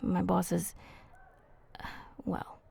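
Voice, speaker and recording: A woman voicing a flirty, teasing female vampire in an ASMR roleplay, soft and husky, speaking intimately and haltingly. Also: fairly low pitch, monotone, full character voice. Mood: guarded, earnest.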